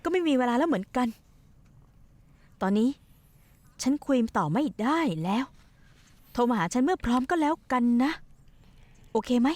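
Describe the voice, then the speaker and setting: A woman's voice: high-pitched, big pitch swings, moderate pace, pauses often, darkish tone, no echo. A woman dubbing a scheming young wife in a television drama, who talks in a coaxing, pushy and manipulative way. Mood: insistent. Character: scheming young wife plotting to run away. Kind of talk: dubbed television drama acting.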